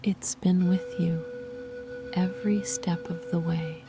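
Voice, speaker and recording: Slow, whispering woman guiding meditation. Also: lowish voice, steady tone. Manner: soft, slow and soothing. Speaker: meditation guide. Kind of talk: guided meditation. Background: music.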